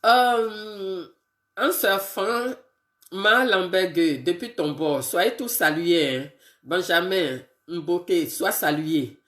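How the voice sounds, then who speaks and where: A woman who has a bad cold, greeting her viewers one by one on a casual live video and talking in a halting way with many fillers. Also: on the low side, a bit bright, echoey room. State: congested with a cold, sniffly and tired. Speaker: political live streamer.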